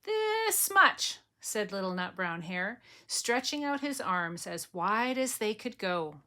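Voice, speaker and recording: Slow, gentle grandmother reading. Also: mid-range voice, lively intonation. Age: older. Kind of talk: bedtime storybook reading.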